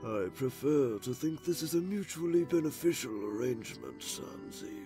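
A sinister, vengeful cloaked spirit acted by a man from a cartoon comic dub. The delivery is deliberate and swings widely in pitch, breathy and coldly condescending. Music plays clearly behind the voice. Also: very animated. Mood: smooth contempt.

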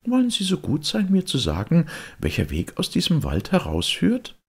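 A man's voice: on the high side, wildly swooping pitch, moderate pace, breathy edge, voice pushed up, full character voice. A man voicing a polite, hesitant little girl in a storybook reading. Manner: courteous, tentative.

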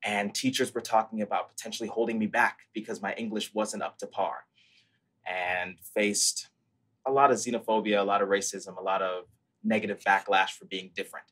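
A man's voice: lowish voice, little pitch movement, a little fast, fairly bright tone. A young man in his twenties, originally from Brazil, talking earnestly and articulately about his life as a founder in an interview over a video call from home. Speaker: startup founder, guest. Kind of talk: interview storytelling. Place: living room, at home. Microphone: earphone headset mic on video call.

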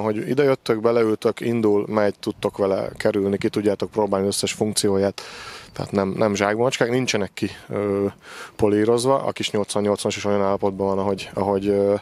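Low, even-toned man presenting machinery. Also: creaky. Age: 40s. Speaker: farm machinery dealer presenting stock. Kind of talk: unscripted sales walkthrough. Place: outdoor gravel machinery yard. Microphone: handheld microphone with windscreen.

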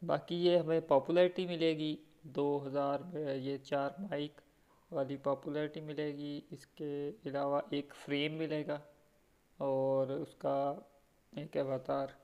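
A man's voice: fairly high pitch, little pitch movement, takes it slowly, smooth, dull audio. A man with a Pakistani accent chatting casually over gameplay as a gaming YouTuber.

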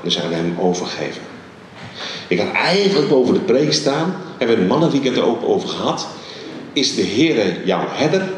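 Middle-aged male preacher, emphatic and pausing, handheld mic in a big reverberant church hall. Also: medium pitch, far from the mic, a little hiss or hum. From Netherlands. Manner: animated, emphatic preaching with many pauses. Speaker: guest preacher. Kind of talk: sermon. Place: large dark church hall stage. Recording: very echoey large hall.

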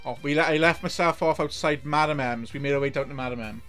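A man's voice: a little high, quick pace, fairly bright tone, soft background music. A middle-aged Welsh man streaming a game from home, chatting casually in a rambling way with constant restarts. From Wales. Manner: rambling, many restarts and repeated words. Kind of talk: casual live-stream commentary. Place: home room.

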